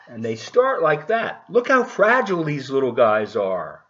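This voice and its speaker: Man, children's storybook reader, folksy, slow-paced, lively intonation, slightly muffled home recording. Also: mid-range voice. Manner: animated, unhurried, folksy explaining. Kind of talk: talking to children and reading a picture book aloud. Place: at home, partly in a living room.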